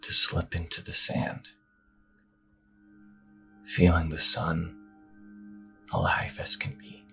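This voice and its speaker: A man voicing an ominous, solemn storyteller, speaking in a slow, breathy half-whisper as dramatic narration. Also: low-pitched, monotone, sharp tone, faint music behind, heavily acted. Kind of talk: scripted second-person dramatic narration.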